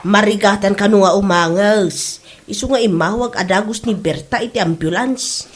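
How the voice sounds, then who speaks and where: A woman's voice: medium pitch, moderate pace, natural voice. A woman playing a caring, apologetic village mother in an amateur comedy drama, with a Filipino Ilocano accent. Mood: concerned.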